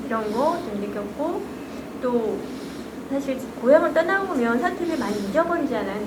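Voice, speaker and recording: A middle-aged woman from Korea's Chungcheong region giving halting, hesitant congratulatory remarks at a book launch. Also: high-pitched. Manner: hesitant, halting, frequent restarts. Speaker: guest speaker. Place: library event hall. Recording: echoey hall, loud background noise.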